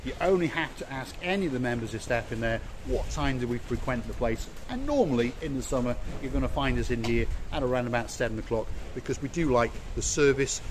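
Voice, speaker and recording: Man, 50s, upbeat travel vlogger, phone mic at arm's length, outdoor harbour, soft street noise. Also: mid-range voice. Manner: enthusiastic, chatty presenter to camera. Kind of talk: walking travel vlog. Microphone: phone held at arm's length.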